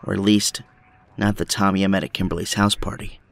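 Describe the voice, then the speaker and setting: Lowish, determined rebel strategist played by a man. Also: flat delivery, brisk, gravelly, a bit bright, no echo. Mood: somber. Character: determined, embittered male resistance strategist. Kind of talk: audio drama acting.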